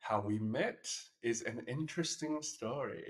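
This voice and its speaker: A man's voice: medium pitch, unhurried. A man with a New Zealand accent, an opera singer, chatting playfully as a podcast guest. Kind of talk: casual interview chat. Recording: roomy echo.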